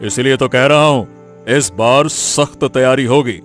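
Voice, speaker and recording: A man's voice: mid-range voice, a little slow, soft background music, close to an everyday voice. A man playing a greedy, scheming village strongman in a cartoon, commanding and threatening.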